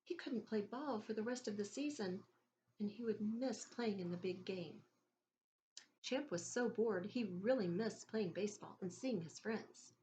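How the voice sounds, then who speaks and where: A woman reading a children's story aloud in an expressive, deliberate teacherly way, pausing to put questions to her listeners. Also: medium pitch, a little hiss or hum, low-quality recording.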